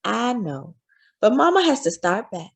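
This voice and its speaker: Woman as a gentle, expressive, husky-voiced storybook mother. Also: fairly high pitch, unhurried, heavily acted. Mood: tender. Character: loving, hopeful enslaved mother comforting her young son. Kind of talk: storybook character voice.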